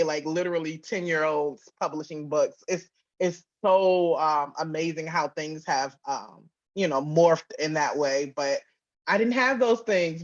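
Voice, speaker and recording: A woman's voice: fairly low pitch, muffled recording. A woman giving casual, informal interview answers as a writer and producer, often acting out bits of dialogue as she talks. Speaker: interview guest, writer and audio drama producer.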